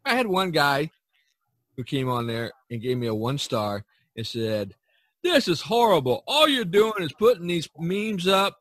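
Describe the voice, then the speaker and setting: A man hosting a casual chat, telling anecdotes in a rambling, halting way. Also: a little high, big pitch swings, a bit bright, no room sound. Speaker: podcast host.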